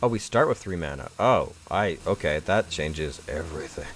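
Low-voiced guy with the sniffles, chuckles and mutters thru his card game, chill. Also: animated, moderate pace, slight background noise. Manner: rambling, chuckling, thinking aloud. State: congested, a bit froggy from the sniffles. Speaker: gaming YouTuber. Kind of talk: casual gameplay commentary.

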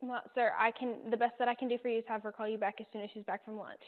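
A woman's voice: a little high, little pitch movement, fast. A woman working as a law office receptionist, talking politely and procedurally on a phone call. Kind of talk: customer service phone talk. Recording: muffled narrow-band phone line.

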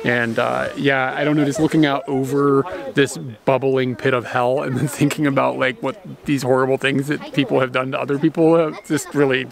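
A man's voice: medium pitch, little pitch movement, normal speed, gravelly, few pauses. A man in his thirties talking in a laid-back, rambling way as a travel vlogger, filmed outdoors by a camera. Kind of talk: casual travel vlog talk. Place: outdoors at volcanic hot springs. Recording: outdoor noise, steam vents. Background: hissing steam vents.

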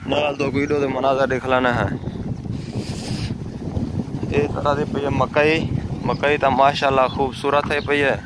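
A man's voice: medium pitch, flat delivery, a little slow, long pauses. A man in his thirties from rural Pakistan with a Saraiki accent, chatting casually as a village vlogger into a handheld phone outdoors. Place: outdoors on an open village roadside. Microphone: handheld phone at arm's length. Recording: noisy outdoor phone recording, wind and vehicles.

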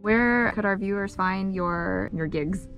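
A woman's voice: mid-range voice, slow, muffled recording, faint music behind. A young woman hosting a casual vlog, chatty and often laughing, recorded on a camera mic at a desert camp. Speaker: vlog host. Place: outdoor desert camp and van interiors.

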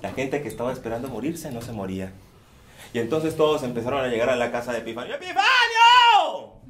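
A man performing a folktale on stage, speaking rhythmically and repeating phrases, often sinking to hushed tones. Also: mid-range voice, fast talker, a little hiss or hum. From Colombia. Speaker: storyteller. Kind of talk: theatrical oral storytelling. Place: dark theater stage.

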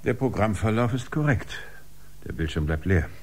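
An actor in a German science fiction radio drama playing a detached spaceship commander with a clipped, official, matter-of-fact manner. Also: male voice, on the low side, lively intonation, moderate pace, a little mellow, slight background noise, lightly acted.

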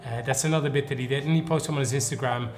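A man's voice: medium pitch, fairly even intonation, racing, soft background music. A man in his thirties from the Netherlands, a Dutch native speaker, hosting a casual interview in a playful, teasing way. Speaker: host. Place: indoor room with wooden wall.

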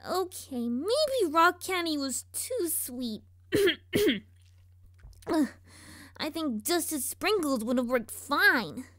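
A scheming, perky little girl pony from a cartoon audio story. Very high, bright and animated, she sounds sweetly cocky. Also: slow, no room sound, clean studio sound, exaggerated voice. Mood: sheepish. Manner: perky, falsely innocent. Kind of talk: animated-series fan fiction audio drama. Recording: music under the voice.

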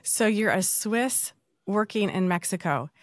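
A woman's voice: mid-range voice, animated, a little slow, no echo. Effusive middle-aged woman host, dry sound. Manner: effusive, warm asides, restarts questions. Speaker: podcast host. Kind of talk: casual interview talk.

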